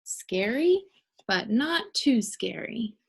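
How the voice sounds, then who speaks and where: A woman voicing a fussy, gentle storybook mother who corrects in a prim manner. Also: fairly high pitch, sing-song, slow, vocal fry, breathy edge, heavily acted. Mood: pleased.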